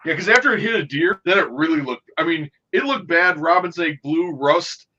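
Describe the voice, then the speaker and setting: A man with a high, bright voice tells wry, joking stories in relaxed conversation. The recording sounds slightly dull and lo-fi. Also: moderate pace. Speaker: co-host. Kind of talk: casual chat.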